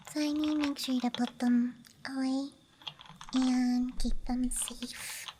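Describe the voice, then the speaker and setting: A woman speaking in a breathy, mostly unvoiced ASMR whisper, rambling gently and softly close to the microphone. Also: sits high, noisy background. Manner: soft, hushed, gentle rambling. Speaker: ASMR streamer. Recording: night nature ambience, clicking and rattling sounds. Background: night campground ambience, dice and case clicks.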